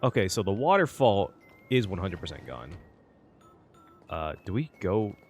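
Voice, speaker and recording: Man reacting to a game, wildly swinging pitch. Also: very animated, unhurried, soft background music. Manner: exclamatory, swears freely. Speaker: gaming reaction streamer. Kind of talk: casual reaction commentary over gameplay.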